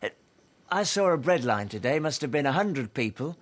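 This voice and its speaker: A man's voice: mid-range voice, sing-song, moderate pace, natural voice. An actor playing a young, troubled male comedian who is cynical and world-weary, in dramatic dialogue. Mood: bleak, agitated.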